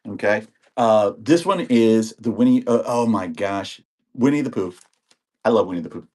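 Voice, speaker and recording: A man's voice: lowish voice, lively intonation, normal speed, darkish tone. A man in his sixties, getting over an illness, chatting casually and rambling as he sells videotapes on a live auction stream and greets viewers by name. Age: 60s. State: recovering from illness.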